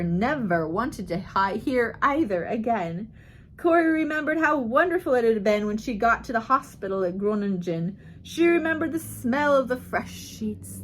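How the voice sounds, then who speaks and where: A woman narrates a written biography aloud. Her voice sits a little higher than most women's. She reads in measured phrases, in the style of an audiobook. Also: slight background noise. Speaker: audiobook reader.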